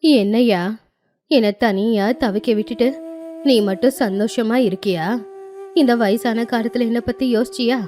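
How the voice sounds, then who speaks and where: Plaintive high-voiced lonely old grandmother, voiced by a woman. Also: moderate pace, warm tone, faint music behind, lightly acted. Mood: sorrowful reproach. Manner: plaintive, reproachful.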